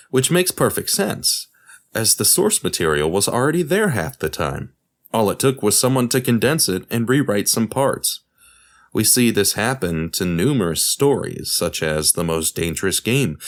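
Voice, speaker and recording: Deep-voiced man narrating, lively intonation. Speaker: podcast host and narrator. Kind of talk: scripted documentary narration.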